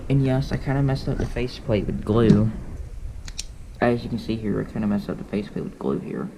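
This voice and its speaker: A man's voice: fairly low pitch, a little fast, gravelly. A man casually narrating a show-and-tell of his collection in a rambling, offhand way, recorded indoors at home. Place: indoors, room at home with radios. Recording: noisy amateur room recording with clicks.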